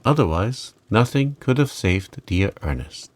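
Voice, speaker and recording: A man's voice: lowish voice, big pitch swings, unhurried. A man voicing a genteel, dramatic middle-aged aunt in a storybook, recounting things with emphasis. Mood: earnest recounting. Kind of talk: storybook character reading.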